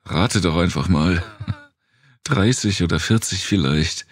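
A man's voice: low-pitched, slow, breathy edge, no echo, clean studio sound, voice pushed up, full character voice. A man voicing a weary, blunt, sardonic wounded woman survivor in an audiobook. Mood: blunt, bitter. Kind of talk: audiobook character dialogue.

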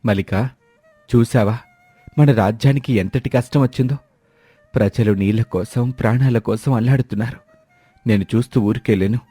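A man's voice: mid-range voice, moderate pace, long pauses, dark tone, breathy edge, no room sound, natural voice. A man voicing a brave, kind young village farmer who speaks earnestly and reassuringly in a storybook tale. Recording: dry, faint music behind.

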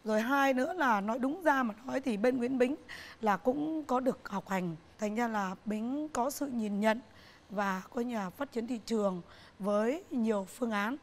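A middle-aged woman, a business owner, answering interview questions on a TV talk show set in an earnest, halting way, often repeating herself. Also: fairly high pitch. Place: TV studio set, indoors.

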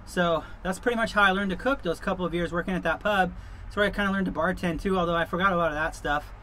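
A middle-aged man with a notably high-pitched voice talks casually to his viewers. His delivery is informal and easygoing, wandering along like someone telling a story. He is recorded inside a truck camper, with some ambient vehicle noise underneath. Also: quick pace, bright, forceful tone. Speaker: vlog host. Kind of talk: casual chat to camera.

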